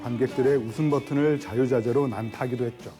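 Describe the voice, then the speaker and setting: A man hosting a film recommendation show, narrating in a smooth, measured presenter style and chatting with his co-host. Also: medium pitch, fairly even intonation. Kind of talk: scripted narration and studio chat. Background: background music.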